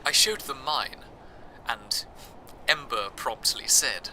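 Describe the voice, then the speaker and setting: A man playing an earnest, awed broadcaster from a fantasy city, narrating a scripted radio drama in a measured, wistful way. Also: medium pitch, sharp tone, a little hiss or hum, poor audio. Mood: wistful, reverent. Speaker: radio host.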